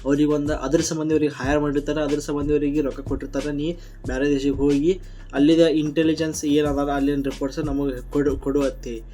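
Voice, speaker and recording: Mellow higher-voiced man, North Karnataka accent. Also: level tone, quick pace, faint music behind. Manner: blunt, colloquial, combative. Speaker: YouTube commentator. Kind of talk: opinionated reaction commentary.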